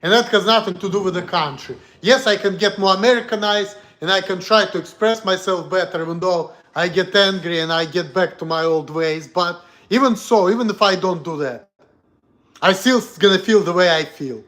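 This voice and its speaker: A man's voice: high-pitched, normal speed, a bit bright. A man from Russia with a Russian accent, talking bluntly and heatedly on a casual livestream in broken English. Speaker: streamer.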